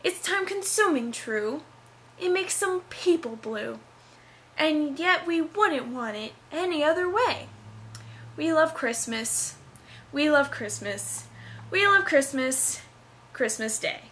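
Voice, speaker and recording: Young woman doing a super dramatic theatrical christmas narrator, high and slow, slightly noisy home recording. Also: expressive, fairly bright tone, full character voice. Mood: grandly festive. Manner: over-dramatic, deliberate declaiming. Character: earnest, theatrical narrator reciting Christmas show lyrics. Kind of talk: dramatic reading.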